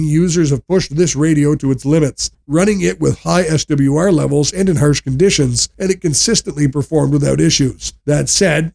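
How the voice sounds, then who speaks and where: Gravelly male product reviewer. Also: a little high, mellow. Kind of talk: scripted review narration.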